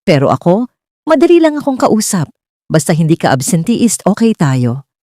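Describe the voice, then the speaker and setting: A woman's voice: on the low side, big pitch swings, moderate pace, warm tone, no room sound, studio quality. A woman voicing a joking, easygoing, flirtatious male store supervisor in a romance audio story, with a breezy, teasing manner. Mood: friendly, teasing.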